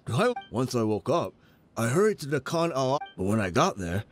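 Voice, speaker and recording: A man's voice: medium pitch, very animated, normal speed, slight background noise, close to an everyday voice. An actor playing an easygoing, earnest male mercenary in a video game. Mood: friendly, earnest. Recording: music playing under the voice.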